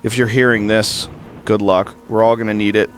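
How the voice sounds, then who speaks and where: A man's voice: on the low side, level tone, normal speed, soft background music, close to an everyday voice. A man playing a weary, uncertain survivor hosting a makeshift broadcast in an apocalyptic audio drama, hesitant and resigned. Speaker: host.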